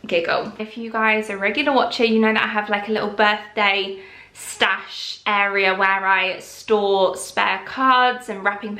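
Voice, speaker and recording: A young woman chatting casually through a shopping haul, calm and rambling. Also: medium pitch, reverberant. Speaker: vlogger.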